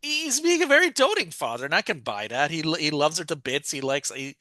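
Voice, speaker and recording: A man's voice: a little high, big pitch swings, a little fast, pushed and bright, no room sound, clean studio sound. A man co-hosting a podcast, recapping a story in a rambling, casual way with many restarts and fillers. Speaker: podcast co-host.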